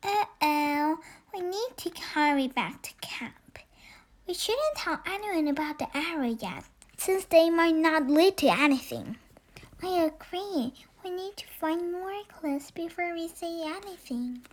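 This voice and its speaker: An excitable little owl pupil from a children's storybook, acted out by a young girl. The voice is high and swoops about, friendly and eager, exclaiming often but never rushing. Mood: excited. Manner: exclaiming, animated.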